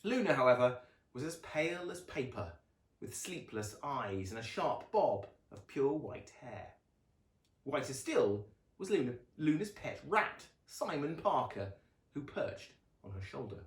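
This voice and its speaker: Expressive male storyteller in an echoey room. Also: medium pitch, a little slow. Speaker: author reading aloud. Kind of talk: dramatic children's storybook narration.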